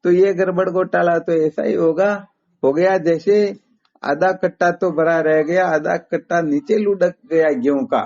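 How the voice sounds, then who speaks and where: A man with a fairly high voice teaches spiritual philosophy over the phone. He explains methodically and poses rhetorical questions to his listener. The recorded call sounds dull and lo-fi. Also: steady tone, unhurried, darkish tone.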